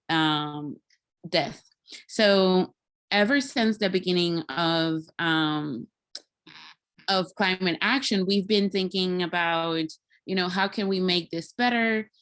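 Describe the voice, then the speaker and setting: A woman giving an informal online presentation as a guest speaker, thoughtful and halting, with many small filler phrases. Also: on the low side, a bit bright. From Puerto Rico. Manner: halting, thoughtful, peppered with "like" and "you know". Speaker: guest speaker, climate scientist. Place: video call.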